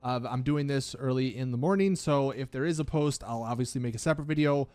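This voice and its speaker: A man's voice: mid-range voice, fast talker, a little mellow, dry recording. A man presenting gaming news commentary as a video host, explaining things in an emphatic, casual way. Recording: background music under dry voice. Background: music.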